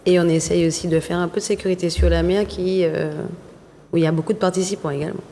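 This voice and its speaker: A woman organizer explaining event rules in a brisk, matter-of-fact way. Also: fairly low pitch, steady tone, vocal fry, dark tone. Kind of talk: explanatory interview answers. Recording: reverberant hall with faint noise.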